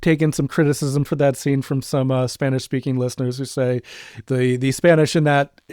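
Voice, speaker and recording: A man's voice: medium pitch, level tone, moderate pace, a little mellow, clear, resonant tone, no room sound, studio quality. A man co-hosting a podcast, talking casually and explaining things in a conversational way. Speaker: podcast co-host and audio drama creator.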